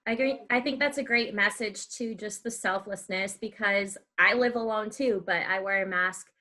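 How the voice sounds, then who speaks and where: A woman's voice: mid-range voice, normal speed, pushed and bright. A young woman with an American accent, hosting a casual interview in a chatty, encouraging way. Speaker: podcast host.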